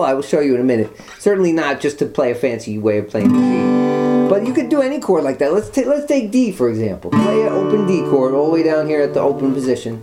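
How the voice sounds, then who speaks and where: Patient man teaching guitar. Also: a little high. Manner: patient, walks students step by step. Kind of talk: live guitar lesson. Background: guitar playing.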